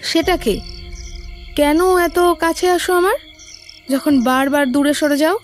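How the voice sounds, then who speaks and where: Woman as a high-voiced, troubled young woman. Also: slow pace, soft background music. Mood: anxious demanding. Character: troubled young woman, twenty-three, demanding answers.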